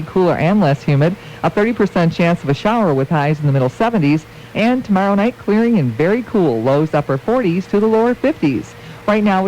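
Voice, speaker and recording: A woman's voice: on the low side, warm tone. American woman on a dull radio recording. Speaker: radio weather presenter. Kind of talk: radio weather forecast read. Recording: dull, noisy radio aircheck.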